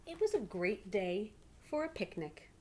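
A middle-aged woman reads aloud expressively like a teacher, pausing to prompt young listeners. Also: mid-range voice, big pitch swings, darkish tone. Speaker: teacher reading a picture book aloud to pupils. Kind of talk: read-aloud storytelling with questions to children. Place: small living room indoors. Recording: clearly noisy home webcam recording.

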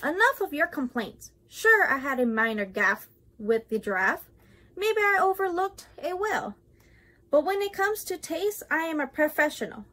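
A woman's voice: high voice, very animated, moderate pace, bright, forceful tone, voice pushed up, full character voice. A woman voicing a fussy, proud male waiter in a storybook, speaking formally and eager to placate. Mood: defensive.

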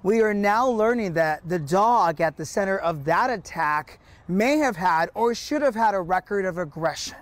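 A man's voice: high-pitched, expressive, normal speed. A man in his thirties reporting live for local television news. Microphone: lapel mic.

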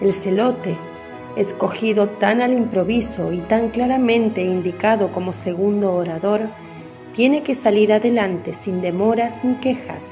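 A woman narrating a religious radio drama in measured, scripted storytelling. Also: medium pitch, music in the background.

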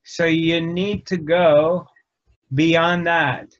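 A man in his fifties giving a slow, halting spiritual talk to camera, often restarting his phrases, recorded in a dark indoor room. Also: on the high side, level tone. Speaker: spiritual teacher. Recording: dull lo-fi sound.

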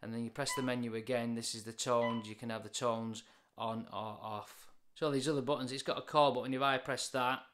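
A man in his forties with a British accent talks through a product demonstration. His voice is mid-pitched. He explains each point informally and matter-of-factly, like a hobby reviewer. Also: steady tone, a little fast, fairly bright tone. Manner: plain, matter-of-fact explaining.

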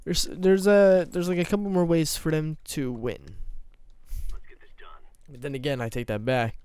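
Hoarse young man rambling over gameplay. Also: a little high, unhurried. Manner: rambling, self-mocking, bursts into loud laughing exclamations. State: voice going hoarse. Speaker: gaming YouTuber. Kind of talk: casual gameplay commentary. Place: small room at home.

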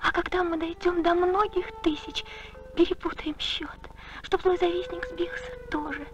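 A woman playing a tender young lover, reciting love poetry theatrically in an animated film. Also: very high-pitched, normal speed. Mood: loving. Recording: distant dull film sound, loud music.

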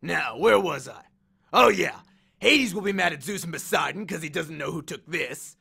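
A man performs a swaggering god of war for an audiobook, a menacing biker bully. He is cocky and slangy, taunting in a bright, forceful voice with plenty of gravel and big swings of pitch. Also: lively intonation, normal speed, full character voice. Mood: gleeful, taunting. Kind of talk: audiobook character voice.

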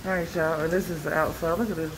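A woman's voice: low-pitched, fairly even intonation, fast talker, noisy background. A woman with a Southern US accent chatting casually to viewers in an informal travel vlog, recorded on a phone at arm's length. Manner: informal, addressing viewers as y'all. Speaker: vlogger.

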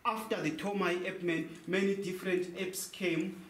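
A man's voice: high voice, fairly even intonation, moderate pace, fairly bright tone. Young man guiding a tour in an echoey cave. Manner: explains with questions and answers. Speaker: tour guide. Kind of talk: guided cave tour talk. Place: large cave, indoors. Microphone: handheld mic, camera a few meters away. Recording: echoey cave, faint noise.

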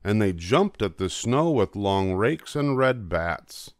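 Older man, unhurried, animated story reader. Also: fairly low pitch, dry recording, clean studio sound. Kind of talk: expressive scripted children's story narration in rhyme.

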